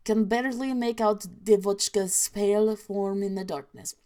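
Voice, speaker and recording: Lady doing a deadpan soviet storyteller, fake russian accent, choppy broken grammar. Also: mid-range voice, normal speed, natural voice. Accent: mock Russian. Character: deadpan mock-Soviet storyteller, adult, grim. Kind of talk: reading creepy stories aloud.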